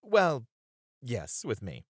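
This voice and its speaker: A man's voice: mid-range voice, huge pitch swings, slow, dry recording, studio quality, exaggerated voice. A man playing a flirty, doting and cheekily possessive club host in an ASMR roleplay, suave and coaxing. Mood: flirty, teasing. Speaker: voice actor.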